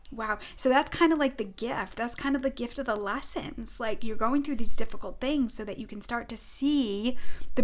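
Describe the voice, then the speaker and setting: A middle-aged woman with a Rhode Island accent talks viewers through a weekly oracle card reading. Her voice sits a little on the high side, and she sounds earnest and effusive. She sometimes drifts into soft, emphatic phrases. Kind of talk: informal spiritual guidance monologue.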